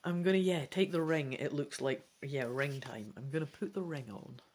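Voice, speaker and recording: A woman reading a fantasy gamebook aloud as its player, in an expressive, theatrical storytelling style. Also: low voice, moderate pace. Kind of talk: dramatic storybook narration with casual asides.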